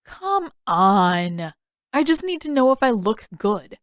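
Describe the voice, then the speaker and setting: A woman's voice: fairly high pitch, big pitch swings, slow, no echo, studio quality. A woman voicing a vain, defensive teenage boy in an audiobook story, casual and exasperated in manner. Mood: self-conscious, teasing.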